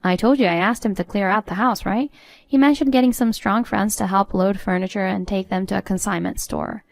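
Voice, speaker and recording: A woman's voice: medium pitch, a little fast, vocal fry, no echo, natural voice. A woman playing a defiant, sarcastic adult daughter-in-law in a story, composed and matter-of-fact. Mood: smug.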